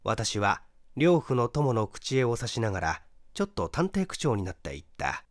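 A man's voice: medium pitch, big pitch swings, normal speed, no echo, studio quality. A man reading a mystery story aloud as scripted narration. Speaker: audiobook reader, stage actor.

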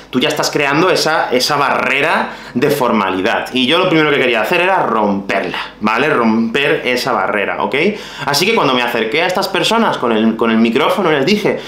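A man from Málaga teaches Spanish straight to the camera, his Andalusian accent worn down but still there. His pitch rises and falls a great deal as he gestures and speaks directly to his viewers. The small home room around him gives the voice a clear echo. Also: a bit bright. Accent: Andalusian, softened. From Málaga, Spain. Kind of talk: explaining to the camera for language learners.

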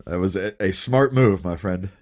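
A man co-hosting a game show podcast, relaxed and explaining things at length with plenty of fillers. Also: low voice, normal speed. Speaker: podcast co-host. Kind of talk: casual chat.

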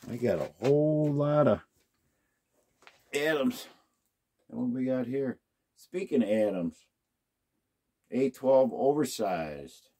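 Man, unhurried, mellow low voice, casual unboxing chatter, thinking out loud. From United States. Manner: relaxed, musing aloud, hums to himself. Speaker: golf club unboxing host.

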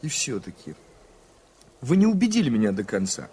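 An actor playing an earnest, polite, doubting American journalist in a radio play, with formal, hesitant speech. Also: male voice, mid-range voice, very animated, normal speed, mellow, slightly rough. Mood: doubting, reflective. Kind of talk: 1980s radio play acting.

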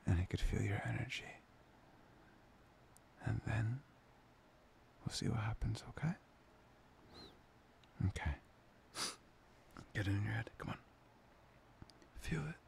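A man playing a tender, playful boyfriend in an intimate ASMR roleplay, breathy and often slipping into a whisper. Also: lowish voice, animated, slow, pauses often, slight background noise, exaggerated voice. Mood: gentle, coaxing. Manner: hesitant, intimate, soft-spoken. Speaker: ASMR voice performer.

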